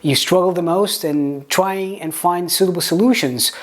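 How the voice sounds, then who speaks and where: Man with a Portuguese accent teaching pronunciation. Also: sits high. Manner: explains with examples, slow deliberate demonstration words, some stammered restarts. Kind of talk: video lesson to camera.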